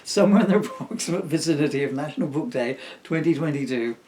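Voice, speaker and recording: A man's voice: fairly high pitch, animated, quick pace, warm tone. A man, a father reading a children's story aloud in a playful, jokey way with chatty asides, recorded with some noise. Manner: jokey, self-teasing. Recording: clear background noise.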